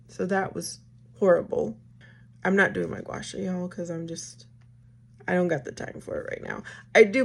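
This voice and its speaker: Raspy, chatty woman in her thirties. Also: medium pitch. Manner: chatty, rambling, addresses viewers as y'all. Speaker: vlogger. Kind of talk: casual get-ready-with-me chat.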